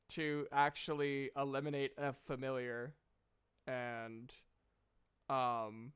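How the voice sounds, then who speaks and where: A man's voice: medium pitch, fairly bright tone, clean tone. A man casually narrating and refereeing a tabletop game as game master, with a self-conscious, apologetic manner.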